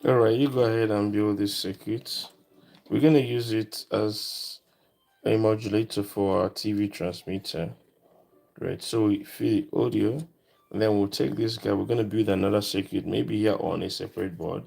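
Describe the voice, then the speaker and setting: A man giving a casual, unscripted electronics demonstration, thinking aloud in short, halting phrases. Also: fairly low pitch, steady tone, creaky, darkish tone. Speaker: electronics hobbyist presenter.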